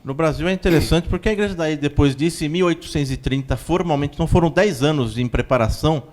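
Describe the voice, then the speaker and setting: A man with a Brazilian accent, a church spokesman giving long, steady explanatory answers in a TV interview. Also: fairly high pitch, fast. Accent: Brazilian Portuguese.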